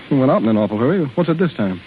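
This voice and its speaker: A man's voice: medium pitch, sing-song, rapid-fire, natural voice. A man acting a breezy, oblivious husband and teasing uncle in a 1930s radio drama, jovial, slangy and brusque. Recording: muffled, hissy 1930s radio broadcast.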